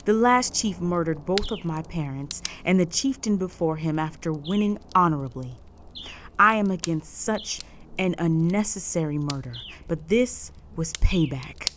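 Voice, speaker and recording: A woman playing a stern, proud, possessive female orc chieftain in a roleplay audio drama, speaking in a formal, measured, authoritative way. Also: lowish voice, animated, slight background noise. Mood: commanding, stern.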